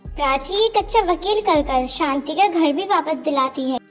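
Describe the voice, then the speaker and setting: Woman narrator, very high pitch, scripted moral-story reading, background music. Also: animated, moderate pace. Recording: music bed behind the voice.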